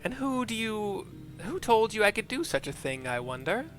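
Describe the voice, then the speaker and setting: A man's voice: high-pitched, big pitch swings, moderate pace, a bit bright, soft background music, voice pushed up. A man voicing a crotchety old sorceress for a game, sardonic, brusque and imperious.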